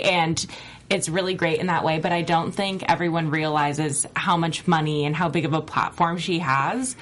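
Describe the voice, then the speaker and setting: Frank, animated woman in casual chat. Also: sits low. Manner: frank, animated, says "like" often. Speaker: podcast co-host.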